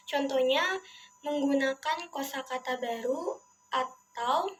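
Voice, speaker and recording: A young woman speaks in a high voice, delivering a prepared student presentation. Her delivery is formal and recited, and the amateur recording has clearly audible background noise. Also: fairly bright tone. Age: young adult. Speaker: university student.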